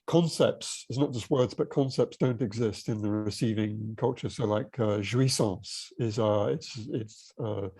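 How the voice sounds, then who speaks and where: A man's voice: lowish voice, unhurried, few pauses, dark tone, breathy edge. A man speaking as a guest author in a panel discussion, reflective and restarting his sentences.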